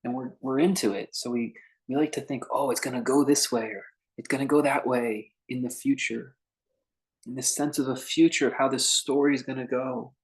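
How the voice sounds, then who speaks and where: A man guiding a meditation in an informal, conversational way, speaking in short phrases with unhurried pauses between them. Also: medium pitch, steady tone, rough recording. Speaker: meditation teacher leading a guided meditation. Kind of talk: unscripted guided meditation talk.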